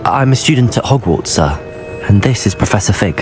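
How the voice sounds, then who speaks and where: An actor playing a polite, curious young wizard student in a fantasy video game. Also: male voice, on the low side, expressive, a little fast, natural voice. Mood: respectful. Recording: voice over background music.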